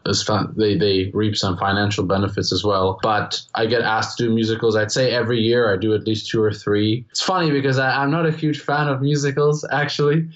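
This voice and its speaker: A man's voice: lowish voice, flat delivery, brisk, hardly any pauses, highs cut off. A young man from the Czech Republic, a native Czech speaker with a strongly Americanized international-school accent, answering interview questions in a casual, rambling way with many restarts. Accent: international-school American English. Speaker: guest musician.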